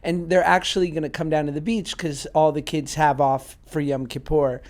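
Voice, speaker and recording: A man's voice: a little high, little pitch movement, a little mellow. Joking man co-hosting a podcast. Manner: joking, halting with restarts in chat. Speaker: podcast co-host. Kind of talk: casual chat and reading out stories.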